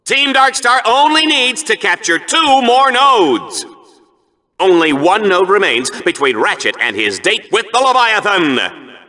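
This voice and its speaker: A hammy, smug announcer for a futuristic arena bloodsport in a video game. His voice sits high for a man and is extremely bright and forceful. The pitch swings widely as he delivers showy, cocky, mock-dramatic sports commentary. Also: sing-song, a little slow, heavily acted. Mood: showy, hyped.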